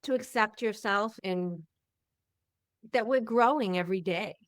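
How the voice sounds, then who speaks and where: A middle-aged woman with a loud voice that carries talks slowly and candidly as a podcast host. Her tone is smooth and clear, and the room sounds dry and close. She reflects openly as she speaks. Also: mid-range voice, no echo. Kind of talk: casual interview chat.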